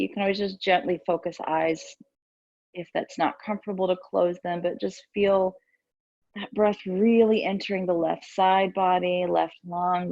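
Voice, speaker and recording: A woman teaching yoga and meditation, giving gentle, soothing, unhurried guidance with pauses between instructions. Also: on the low side, vocal fry, no room sound, muffled recording.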